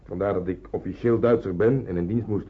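A man's voice: low voice, steady tone, a little fast, close to an everyday voice. A man acting a polite, friendly half-Dutch German soldier in a wartime radio drama. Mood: polite, explaining. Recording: muffled, band-limited old radio recording.

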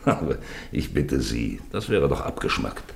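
A very low-voiced, sly investigator in a radio drama. He swings widely in pitch as he probes, wheedles and insinuates behind a show of jovial, apologetic courtesy. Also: big pitch swings, measured pace, vocal fry, a little hiss or hum, heavily acted. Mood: mock apologetic. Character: sly, probing male police investigator, feigning jovial courtesy. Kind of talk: German radio play acting.

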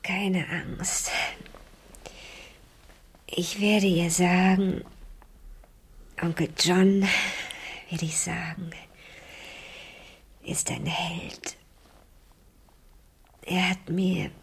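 An actress playing an injured, earnest fifteen-year-old girl in a radio crime drama, speaking in a weak, halting whisper. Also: lowish voice, a little hiss or hum. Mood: tender, weak.

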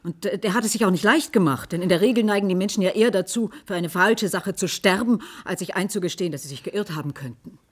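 A woman's voice: medium pitch, fast, hardly any pauses, breathy edge, close to an everyday voice. An actress playing an earnest woman doctor on a panel in a German radio play, speaking emphatically. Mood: earnest, agitated. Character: earnest, agitated woman doctor defending a colleague. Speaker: expert panel guest with a doctorate.

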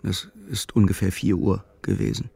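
A man narrating a literary audio drama in measured, clipped, matter-of-fact phrasing. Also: deep voice, big pitch swings, vocal fry, dark tone, breathy edge. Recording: music behind the voice. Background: music.

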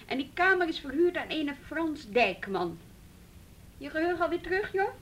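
A woman's voice: high-pitched, sing-song, moderate pace, a bit bright, a little hiss or hum. A woman acting a firm, patient, businesslike juvenile police detective in a naturalistic radio drama.

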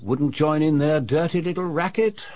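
An actor playing an unassuming but knowing retired English civil servant, older, genteel and confidential, in a radio drama. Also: male voice, on the high side, normal speed, darkish tone, no room sound, close to an everyday voice. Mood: knowing, conspiratorial.

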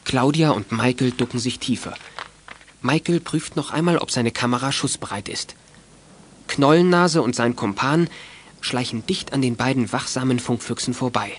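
A man's voice: mid-range voice, normal speed, slight background noise. A man narrating a children's audio drama as a scripted storyteller.

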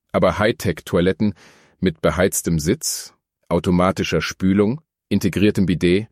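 A deep, low male voice, recorded very dry, an actor as an earnest plumber in a satirical radio play. He grumbles and rambles with firm opinions, matter-of-fact and scornful of luxury. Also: a little mellow, no room sound. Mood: disapproving. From Dithmarschen, northern Germany. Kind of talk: mock-documentary radio play interview.

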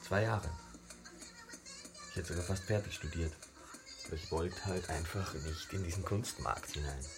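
A skeptical young man in a naturalistic radio drama. His voice sits extremely low, and his talk is laid-back and colloquial. Clear music runs underneath. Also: normal speed. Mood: jaded, casual. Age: young adult. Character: disillusioned young male student and model, casual, skeptical.

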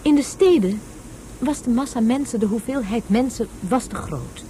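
A woman's voice: on the high side, normal speed, mellow, slight background noise, natural voice. An actress playing a patient woman tutor in a radio play, explaining things didactically as if to a child. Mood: emphatic. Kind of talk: Dutch radio play acting.

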